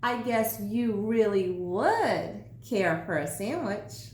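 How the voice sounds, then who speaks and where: A woman's voice: medium pitch, animated, slow. Woman doing a sly, cackling little furry creature. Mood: sly, mocking. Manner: cackling, mocking. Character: small furry female creature with bumpy nose, sly, cackling. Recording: roomy echo, some background noise.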